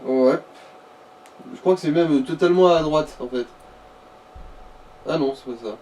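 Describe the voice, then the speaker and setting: A man's voice: a little high, a little slow, dark tone. A man casually commenting on a video game as a companion, with playful, mock-dramatic joking. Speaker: gaming companion. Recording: distant from mic, background noise.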